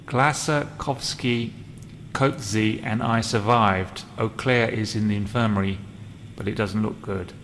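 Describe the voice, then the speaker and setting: Low-voiced man over loud noise. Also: fairly even intonation, a little slow. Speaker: game player narrating a role play. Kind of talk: reading journal entries aloud during game play.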